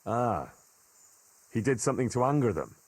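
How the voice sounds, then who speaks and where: Earnest young soldier, lively intonation. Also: male voice, medium pitch, normal speed, breathy edge, dry recording. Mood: inquisitive. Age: young adult. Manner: polite, questioning, plain-spoken. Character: earnest, inquisitive, respectful young man-at-arms. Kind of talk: dramatic video game cutscene dialogue.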